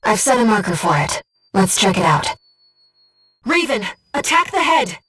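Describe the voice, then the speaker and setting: A woman voicing a disembodied female guide who speaks in a pilot's head as mission operator in a video game, with a soft, breathy voice and a precise, earnest delivery. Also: mid-range voice, very animated, normal speed, far from the mic. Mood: urgent, alert. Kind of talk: scripted video game voice lines, mission briefings and battle callouts.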